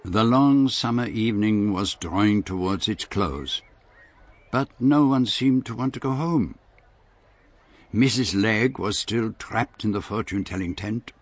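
A man's voice: fairly low pitch, lively intonation, measured pace, dry recording. A man playing a courteous foreign detective in a radio drama, formal and politely probing as he questions people and narrates his case. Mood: sombre narration.

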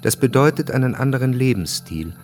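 An actor as a detached chronicler, narrating a historical radio drama in a factual, measured manner. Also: male voice, mid-range voice, animated, a little mellow, faint music behind, close to an everyday voice. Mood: sober. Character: sober, detached male chronicler of historical events.

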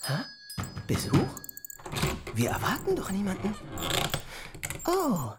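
An actress playing a humble, elderly, sentimental widow in a radio drama, with a hesitant, theatrical delivery. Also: female voice, mid-range voice, wildly swooping pitch, slow pace, very noisy. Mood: anxious. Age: older. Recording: music behind the voice.

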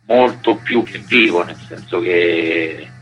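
A man's voice: deep voice, slow pace, a bit bright, faint music behind, poor audio. A man with a Roman accent giving casual, colloquial, rambling answers as an interviewed musician. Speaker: musician interviewed as band member.

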